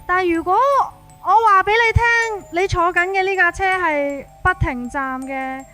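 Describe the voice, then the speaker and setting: A woman's voice: very high voice, unhurried, pushed and bright, faint music behind. A woman with a Hong Kong Cantonese accent narrates a scripted suspense story in the first person, in a colloquial, dramatized way.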